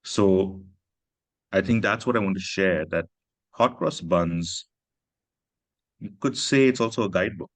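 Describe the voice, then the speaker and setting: A man's voice: low-pitched, measured pace, pauses often, no echo. A man from Pakistan, an author, speaking earnestly and reflectively at a festival chat, often adding "you know" and "right". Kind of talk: conversational literary talk. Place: literary festival stage.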